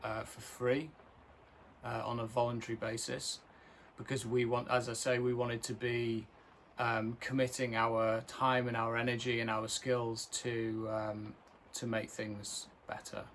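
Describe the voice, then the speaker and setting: A male filmmaker speaking earnestly and thoughtfully about his work, pausing often with fillers. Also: mid-range voice, level tone, fairly bright tone, a little hiss or hum. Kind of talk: casual film introduction.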